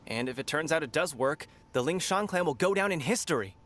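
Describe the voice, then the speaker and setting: A man's voice: a little high, animated, a little fast, fairly bright tone. A man playing an eager, devoted young martial arts student in a video game, earnest and insistent.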